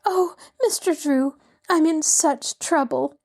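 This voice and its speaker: A woman's voice: sits high, slow, darkish tone, pitched above the performer's own voice. A woman voicing a genteel middle-aged lady in distress who pleads breathlessly and near tears. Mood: distressed, tearful.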